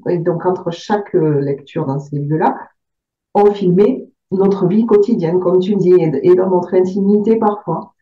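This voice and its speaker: Very dark-voiced woman, distant and roomy. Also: fairly low pitch, unhurried. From Aveyron, southern France. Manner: hesitant, many fillers and repeated words. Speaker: author. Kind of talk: interview answers about her book. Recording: distant, roomy, dull sound.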